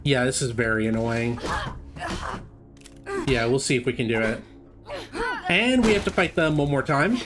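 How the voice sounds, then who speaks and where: A man giving casual live speedrun commentary, haltingly thinking aloud as he plays. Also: mid-range voice. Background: game music.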